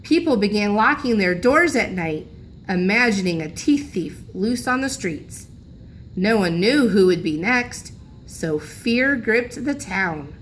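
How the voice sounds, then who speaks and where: A woman's voice: mid-range voice, lively intonation, takes it slowly. Woman reading a storybook over background noise. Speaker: teacher reading a storybook aloud. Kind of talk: expressive children's storybook read-aloud.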